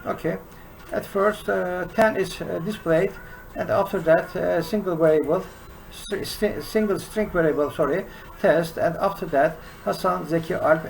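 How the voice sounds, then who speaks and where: A man in his sixties with a Turkish accent, teaching a programming lesson from his desk in a slow, halting way full of "uh" fillers. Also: a little high, vocal fry, poor audio. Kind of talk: screen-recorded coding lesson. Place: small room indoors.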